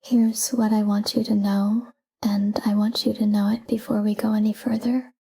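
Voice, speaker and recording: A woman guiding a sleep meditation in a calm, hushed, breathy whisper, soothing and soft. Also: medium pitch, moderate pace.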